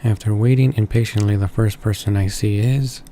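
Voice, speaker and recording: Male let's play narrator, deep-ish and even in intonation, halting read-aloud delivery of game text. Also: noisy background. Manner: stumbles and rereads words while reading. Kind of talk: reading a visual novel aloud. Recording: voice-over with game music behind.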